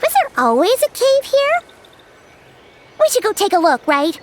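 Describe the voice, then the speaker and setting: Super high squeaky little fairy sidekick, bubbly and dramatic, bouncy voice, game music under it. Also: female voice, very animated, a little slow, full character voice. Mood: chirpy. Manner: talks about herself in third person, bubbly and dramatic. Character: tiny floating fairy companion, chirpy, excitable, self-centered. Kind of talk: video game cutscene dialogue. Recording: game soundtrack music behind.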